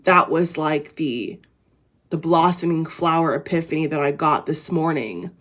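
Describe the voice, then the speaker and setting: A tired woman with a raspy voice, talking casually and at length to her viewers in a rambling inspirational vlog full of fillers and restarts. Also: sits low, a little slow, a bit bright. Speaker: vlogger. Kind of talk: casual inspirational talk to camera.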